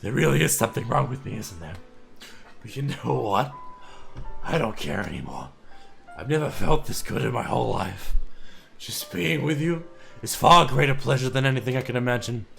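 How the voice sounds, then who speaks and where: A hoarse man voicing an obsessive, lovesick shy young woman in a hushed, intense manner. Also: medium pitch, expressive, moderate pace, faint music behind. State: hoarse, losing his voice.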